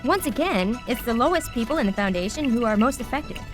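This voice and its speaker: A fairly high, emphatic news anchor in a satirical audio drama, played by a woman. She announces doom and scandal in a declamatory, mock-serious style, with music playing clearly behind. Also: expressive, brisk, natural voice. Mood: urgent. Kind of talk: parody news reading in audio play.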